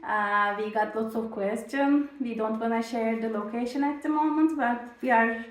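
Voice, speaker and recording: A woman from Turkey, a native Turkish speaker, co-hosts a homestead vlog in easy, casual chat. She laughs often and talks in short, simple phrases. Her voice sits a little high and comes from some distance in a roomy space. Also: moderate pace. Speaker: channel co-host.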